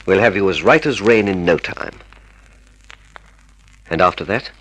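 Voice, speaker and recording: A man's voice: low-pitched, expressive, a little slow, a bit bright, dry recording, exaggerated voice, poor audio. A man acting in a radio drama as a cold, scheming murderer, methodical and commanding. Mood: calculating, smug. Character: cold, calculating murderous male dentist, secret lover, scheming.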